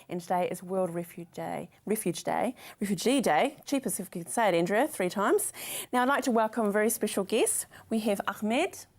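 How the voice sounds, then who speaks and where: A woman with a New Zealand accent hosting a local TV interview show, encouraging her guests with an upbeat manner. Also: mid-range voice. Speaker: host.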